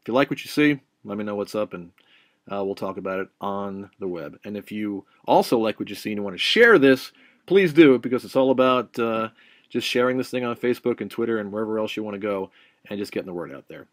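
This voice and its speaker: A man's voice: fairly low pitch, lively intonation, fast, dry recording, studio quality. A man presenting as a filmmaker in a casual, chatty and emphatic address to his viewers. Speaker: filmmaker and travel show presenter. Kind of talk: casual video sign-off to viewers.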